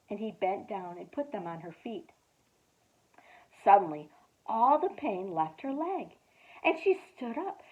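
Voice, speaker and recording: A woman youth director reading a children's storybook aloud, expressive with dramatic pauses. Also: fairly high pitch. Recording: tinny phone-like audio.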